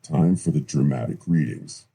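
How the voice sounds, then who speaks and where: A man playing a grave, ominous movie-trailer announcer, gravelly, with a slow, portentous delivery. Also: rumbling low, warm tone. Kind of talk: dramatic trailer-style intro narration.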